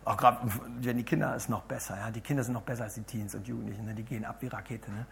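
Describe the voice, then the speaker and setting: A man with a hoarse voice preaches to a gathered group, talking in a chatty way and turning to them with questions. His delivery jumps between loud, emphatic bursts and quiet, murmured asides. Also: fairly low pitch, steady tone, very fast. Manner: chatty, asks the audience questions. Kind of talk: lively sermon to a congregation.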